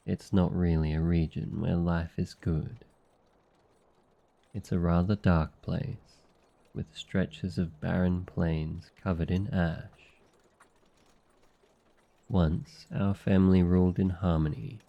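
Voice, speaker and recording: A man playing a gentle, devoted noble demon familiar in a boyfriend ASMR roleplay, speaking softly in a tender, earnest, unhurried way. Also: sits low, creaky, lo-fi sound, full character voice.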